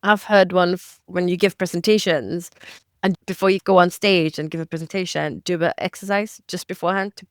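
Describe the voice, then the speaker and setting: Clear-voiced woman podcast co-host. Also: lowish voice, fairly even intonation, fairly quick, dry recording, studio quality. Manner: friendly, asks long rambling questions. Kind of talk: interview hosting.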